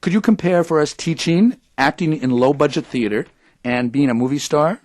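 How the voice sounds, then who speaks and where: A man's voice: on the high side, normal speed, darkish tone, natural voice. Man playing an earnest, bookish radio interviewer. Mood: polite, curious. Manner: pedantic, earnest. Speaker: actor playing a radio host. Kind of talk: audio drama dialogue.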